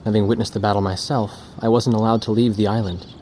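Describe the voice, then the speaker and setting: A man's voice: fairly low pitch, little pitch movement, fast talker, warm tone, natural voice. A man playing a young, reflective and earnest war photojournalist in a video game. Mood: reflective, sober. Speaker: narrator and journalist character. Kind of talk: scripted narration and dialogue in game cutscenes. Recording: clearly noisy track with vehicle ambience.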